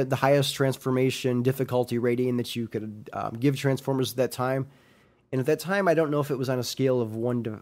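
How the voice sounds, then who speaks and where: A man's voice: medium pitch, a little mellow. A young adult man, slightly nasal and congested from a sinus infection, hosting a casual solo podcast and rambling with many ums and restarts. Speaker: podcast host.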